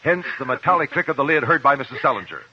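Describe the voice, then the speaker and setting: An actor with an American accent playing a composed, probing courtroom investigator in a 1950s radio drama, speaking in a deliberate, formal, precise way. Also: male voice, mid-range voice, animated, natural voice. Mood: firm, accusing. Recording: muffled old 1950s radio recording.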